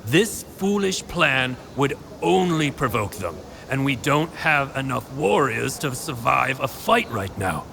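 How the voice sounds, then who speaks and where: An actor playing a cautious, stubborn townsman in an audio drama, speaking with emphatic oratory and a gravelly voice. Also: medium pitch, sing-song, slow pace, few pauses, a crowd in the background, full character voice. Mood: insistent, grim. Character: cautious, stubborn townsman urging appeasement and survival.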